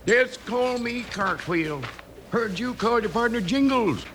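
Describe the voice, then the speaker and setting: Crusty frontier schemer, American, sharp bright voice, creaky, needling, folksy, old radio drama. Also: male voice, very high-pitched, expressive, unhurried, heavily acted. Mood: sly. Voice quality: gravelly, pinched, sharp. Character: crafty, crusty frontier toll collector. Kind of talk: 1950s radio western acting. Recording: faintly noisy old radio recording.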